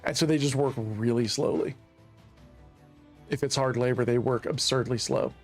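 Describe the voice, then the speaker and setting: A man's voice: medium pitch, fairly even intonation, moderate pace, gravelly, faint music behind. Chatty man streaming a game. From Central Virginia, USA. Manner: rambling, chatty, laughs at his own jokes. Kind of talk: casual gameplay commentary.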